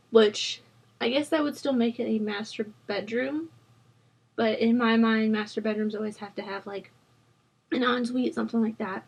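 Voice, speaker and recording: A young woman in her twenties talks in a husky, hoarse voice that sits a little high. She rambles in easy, chatty asides, full of fillers and little self-conscious laughs, like a relaxed voice-over at a home desk mic. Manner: rambling, chatty, many ums and likes, laughs at herself. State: hoarse from a sore throat. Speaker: Sims building channel host. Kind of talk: casual voice-over chat during a speed build.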